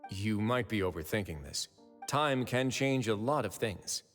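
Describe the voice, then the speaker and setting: A calm, shrewd game warden with a lowish voice. He sounds unhurried and in command, in long reverb over faint music. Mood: calm, reassuring. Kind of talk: dramatic video game voice acting.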